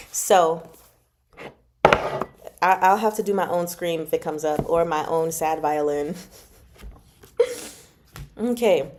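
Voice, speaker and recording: A woman with a chatty, giggly, expressive way of talking, casually hosting a livestream book club. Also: fairly low pitch, slight background noise. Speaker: host of a book club reading stream. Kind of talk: casual livestream chat about a novel.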